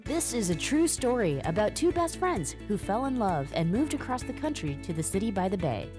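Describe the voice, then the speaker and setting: A woman's voice: medium pitch, very animated, a little fast, music in the background. A woman reading a scripted story narration in a storytelling tone.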